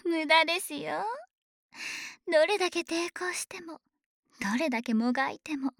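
A woman's voice: high voice, dry recording. A woman playing an obsessive, possessive female dragon maid in an ASMR voice drama, speaking in polite servant phrases with a whispery, doting, menacing delivery, close to the microphone. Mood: menacing, jealous. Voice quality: breathy, whispery. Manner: slow, honorific servant speech, softly teasing. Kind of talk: Japanese ASMR situation voice drama. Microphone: close microphone, dry studio recording.

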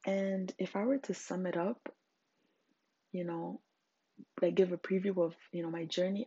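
A woman's voice: lowish voice, mellow, muffled recording, slight background noise. A young Zimbabwean woman, speaking English as a later language, hosting her own podcast in an earnest, emphatic way. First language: not English. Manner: earnest and emphatic, frequent fillers. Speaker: podcast host. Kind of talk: solo podcast monologue.

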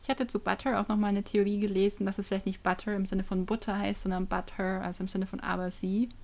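A woman's voice: mid-range voice, level tone, quick pace, creaky, darkish tone. A woman co-hosting a casual pop fan podcast, dropping English slang into her talk. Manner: peppers German with English slang. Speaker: podcast co-host. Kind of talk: casual fan chat about pop music.

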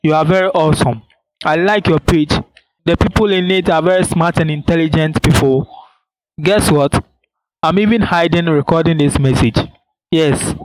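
Young Ghanaian man, higher-pitched voice. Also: normal speed, no echo, rough recording. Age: young adult. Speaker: listener leaving a voicemail. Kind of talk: personal testimony in a voice message.

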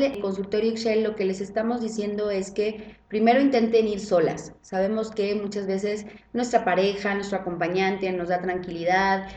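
A woman gynecologist, explaining clinic measures in a relaxed podcast chat and often adding tag questions. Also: mid-range voice, slight background noise. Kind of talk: casual podcast conversation. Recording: roomy sound.

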